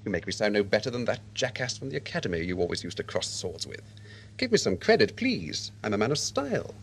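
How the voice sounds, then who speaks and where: A man's voice: fairly low pitch, very animated, fast, slight background noise. A man playing a suave, gloating villain in an audio drama, pompous and mock-courteous.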